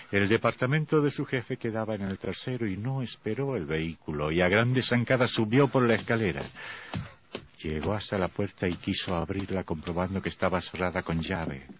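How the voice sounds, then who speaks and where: A man's voice: on the low side, noisy background. A man narrating a horror radio play in a theatrical, ominous, suspenseful manner. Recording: dull lo-fi old radio recording. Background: dramatic music.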